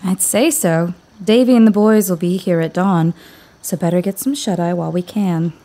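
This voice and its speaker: An actress playing a sensible, caring female companion to a scholar in an audio drama, expressive and gently chiding. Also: fairly low pitch, moderate pace, lightly acted. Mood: content, earnest.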